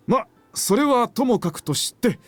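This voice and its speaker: Middle-aged visual novel dad: polite, hesitant, well-meaning, animated pitch, close dry studio sound. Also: male voice, no echo, full character voice. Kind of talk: Japanese visual novel voice acting.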